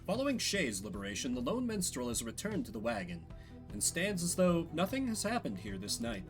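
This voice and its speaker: A man's voice: on the high side, huge pitch swings, fairly quick, music in the background. A man giving casual game commentary, thinking aloud and reading out story narration as he plays. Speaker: streamer playing and solo-voicing a story game.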